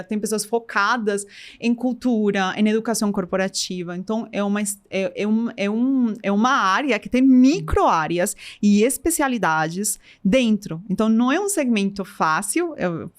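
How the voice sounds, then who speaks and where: A middle-aged woman from Colombia with a strong Colombian Spanish accent, speaking Portuguese with Spanish mixed in, as an interview guest who often restarts her sentences. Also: mid-range voice. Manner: thoughtful, many restarts, mixes Spanish into Portuguese. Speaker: guest, company co-founder. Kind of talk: casual interview talk.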